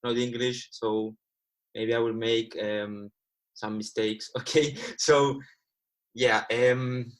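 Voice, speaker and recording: A man's voice: mid-range voice, fairly even intonation, slow. A man in his twenties from Cyprus whose first language is Greek, speaking casually and earnestly to livestream viewers as a guest trader. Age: 20s. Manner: earnest, repeats himself, addresses viewers as guys.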